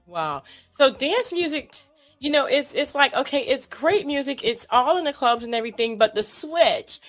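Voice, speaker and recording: A woman's voice: sits high, animated, moderate pace, soft background music. A woman hosting an interview show, asking her guests questions with lots of enthusiasm. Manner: enthusiastic, gushing.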